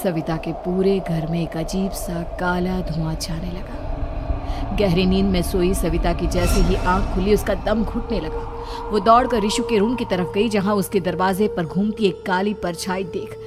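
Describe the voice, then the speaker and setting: A woman's voice: on the low side, fairly even intonation, measured pace, heavy background noise. A woman reading scripted horror story narration as the storyteller. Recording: music playing behind narration.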